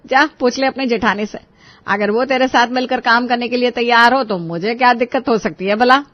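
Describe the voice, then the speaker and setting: A woman's voice: on the high side, fairly quick, dry recording, muffled recording, natural voice. Woman acting bossy, stern older Indian mother-in-law. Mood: grudging, questioning. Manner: scolding, commanding, emphatic. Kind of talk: Hindi cartoon family drama dialogue.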